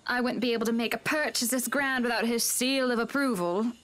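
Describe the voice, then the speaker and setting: An actress playing a wealthy, teasing, sharp-tongued young wife in a visual novel, chatty and pointed. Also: female voice, on the high side, steady tone, a little fast, lightly acted.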